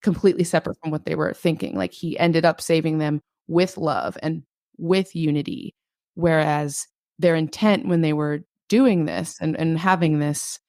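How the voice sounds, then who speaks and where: A woman with a low voice, a podcast guest talking casually and reflectively, thinking aloud with frequent filler words. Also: lively intonation, moderate pace, a little mellow, no room sound, studio quality.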